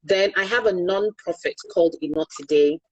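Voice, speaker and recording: A woman's voice: on the low side, fairly quick, breathy edge, dry recording, highs cut off. A middle-aged Nigerian woman, a Yoruba speaker, explaining energy business in an online interview in an articulate, pragmatic, emphatic way. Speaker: guest, energy consultant.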